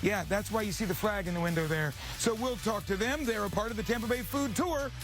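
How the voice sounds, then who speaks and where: A man's voice: sits high, fairly quick, music in the background. A man working as a TV reporter, chatting in an upbeat, enthusiastic morning-show style and often repeating himself. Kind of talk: upbeat live morning TV feature chat.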